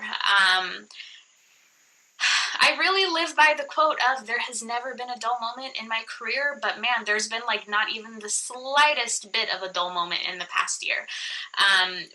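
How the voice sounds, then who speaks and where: Bright-voiced woman giving a webinar. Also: on the high side, poor audio. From born in Iraq, raised in the US. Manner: chatty, frequent "um" fillers and restarts. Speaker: immigration attorney presenting a webinar. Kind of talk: presenting a webinar.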